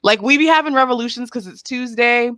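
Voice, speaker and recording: A woman comedian born in Haiti, chatting as a podcast guest in an animated, joking way with many "um"s. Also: fairly high pitch, brisk. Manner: animated, joking, lots of fillers like "um". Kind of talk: casual interview chat.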